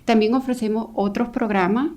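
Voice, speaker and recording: A woman's voice: a little high, fairly even intonation, quick pace, a little mellow. A woman from El Salvador, a volunteer coordinator testifying before a county council in an earnest, hesitant way with frequent fillers. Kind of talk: public testimony.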